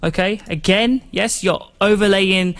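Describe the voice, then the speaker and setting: A man's voice: high voice, a little slow, a bit bright. A man hosting a music production tutorial in an informal, rambling, chatty way, explaining things step by step. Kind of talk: casual step-by-step software tutorial.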